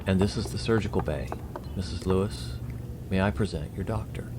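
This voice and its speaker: Man as deep, formal alien envoy, noisy track. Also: moderate pace, lightly acted. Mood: composed, courteous. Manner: formal, precise, polite. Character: courteous, composed male alien envoy, formal and explanatory. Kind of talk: science fiction audio drama acting. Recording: clearly noisy audio drama track.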